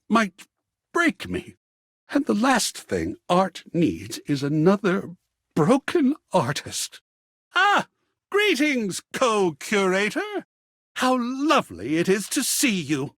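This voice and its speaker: A man's voice: high-pitched, no echo, clean studio sound, heavily acted. An actor playing a flamboyant, melodramatic male art lover in a video game, with a pompous, florid, theatrical delivery. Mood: distraught, pleading, then jovial greeting. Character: flamboyant, melodramatic art-loving male dateable character, passionate and volatile.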